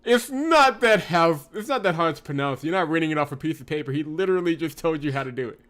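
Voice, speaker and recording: A man's voice: fairly high pitch, lively intonation, fast talker, fairly bright tone. A man doing joking, sarcastic playthrough commentary and reading out narration, with game music behind. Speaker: let's player.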